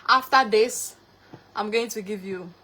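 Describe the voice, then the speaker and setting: A woman's voice: mid-range voice, a little slow. Ghanaian woman greeting her livestream viewers. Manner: warm, repetitive greetings of viewers by name. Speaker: cooking livestreamer. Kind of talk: live cooking stream, greeting viewers.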